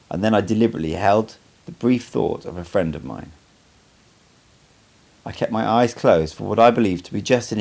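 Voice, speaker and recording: Deep, gravelly male audiobook narrator. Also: a little mellow. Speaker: amateur audiobook reader. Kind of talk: scripted audiobook narration, first-person memoir.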